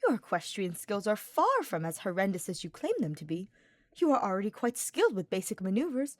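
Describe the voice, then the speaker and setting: A woman's voice: mid-range voice, big pitch swings, a little fast, dry recording, clean studio sound, close to an everyday voice. A woman voicing an earnest, cheerful young nobleman knight in an audiobook, with florid and formal phrasing. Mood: encouraging. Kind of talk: fan fiction audiobook character voice.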